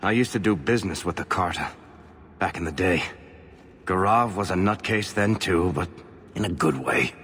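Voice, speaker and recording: An actor playing a wry, world-weary dwarf rogue companion in a fantasy video game, grumbling drily. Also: male voice, low-pitched, animated, normal speed, pauses often, fairly bright tone, breathy edge, heavily acted. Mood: rueful. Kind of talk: dramatic fantasy game acting.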